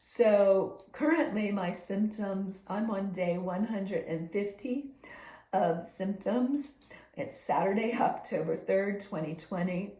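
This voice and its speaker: A hoarse, short-of-breath woman recording a personal health video journal, speaking in a slow, measured way with frequent ums and pauses to clear her throat. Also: mid-range voice, mellow, roomy sound. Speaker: video diarist.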